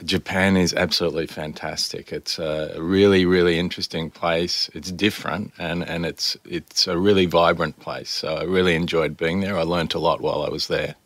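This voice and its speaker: A man's voice: deep voice, steady tone, normal speed, few pauses, fairly bright tone, no room sound. A man, a managing director, talking upbeat and enthusiastic as an interview guest. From Australia. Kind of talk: casual interview chat.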